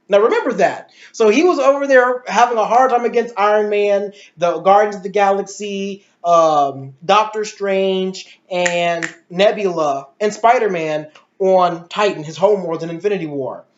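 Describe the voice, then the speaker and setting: High-pitched man ranting in echoey room. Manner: emphatic, ranting, rhetorical questions. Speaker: movie reviewer. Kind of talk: opinionated film commentary in casual chat. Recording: muffled, echoey room recording.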